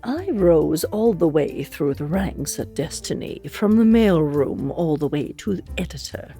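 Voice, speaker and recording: A woman's voice: sits low, expressive, a little slow, dark tone, soft background music. A woman playing an ambitious, impatient immortal in a comedic audio drama, with a haughty, exasperated, articulate manner.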